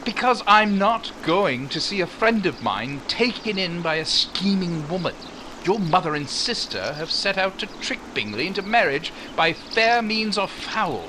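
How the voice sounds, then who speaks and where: A man playing a proud, awkward gentleman suitor in 1940s radio romance style, formal and hesitant. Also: high voice, background noise. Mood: indignant.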